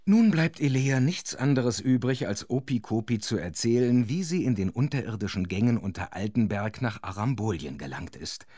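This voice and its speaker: A man playing an involved, expressive storyteller who narrates a children's audio drama. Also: mid-range voice, moderate pace, few pauses, no echo, studio quality, natural voice. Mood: narrating.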